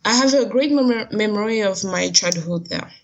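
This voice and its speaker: A woman with a French accent speaks as a guest in a casual interview. She pauses to search for words, at an average female pitch, and the recording is dull and lo-fi. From Guadeloupe, French West Indies. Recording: dull lo-fi sound.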